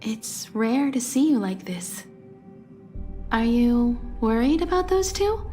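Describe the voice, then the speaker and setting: A gentle, poised female mentor from a video game. She speaks slowly in a slightly high voice, with music behind her. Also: big pitch swings. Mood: gentle concern. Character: poised, caring grown woman, gentle mentor.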